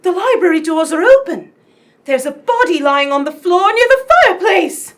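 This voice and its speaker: A woman's voice: very high voice, expressive, normal speed, recorded from a distance, slight background noise. A woman playing a plucky, self-assured lady detective in a radio mystery play. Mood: alarmed.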